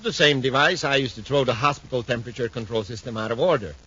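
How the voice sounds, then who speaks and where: An actor playing a self-assured villainous inventor with a confident, gloating manner in a 1950s radio drama. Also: male voice, mid-range voice, fast, sharp tone, slightly rough. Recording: muffled, faintly noisy 1950s radio recording.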